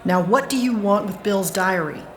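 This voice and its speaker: A woman's voice: mid-range voice, moderate pace, soft background music, close to an everyday voice. A woman playing a blunt, exasperated aunt with a wry delivery in an audio drama. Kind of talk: scripted audio drama acting.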